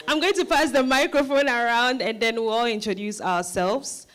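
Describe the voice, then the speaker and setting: A woman's voice: on the high side, a little slow, fairly bright tone. A woman with a Ghanaian accent, a stage director speaking informally into a handheld microphone to thank an audience. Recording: live event with crowd and faint noise.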